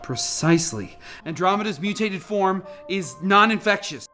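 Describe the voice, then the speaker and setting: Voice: medium pitch, animated, normal speed, music in the background, lightly acted. A performer playing an urgent scientist in a radio play, intense and stammering under pressure while guiding a colleague through danger. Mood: grave.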